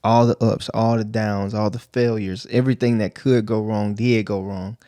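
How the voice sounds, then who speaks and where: A man's voice: lowish voice, little pitch movement, normal speed, mellow, dry recording. A man talking as a podcast guest in a laid-back, easygoing way, dropping "you know" and "man" often. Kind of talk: casual interview chat.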